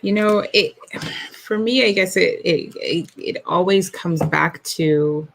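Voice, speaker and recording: Guest: woman declutter coach, low gravelly voice, slow and thoughtful. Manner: slow, reflective, searching for words. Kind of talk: casual interview talk.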